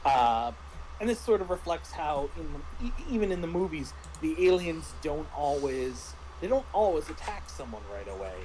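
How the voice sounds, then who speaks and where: Halting, higher-pitched man with background noise. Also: big pitch swings, a little mellow, rough recording. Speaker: tabletop game master. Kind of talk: casual discussion and review of a roleplaying game.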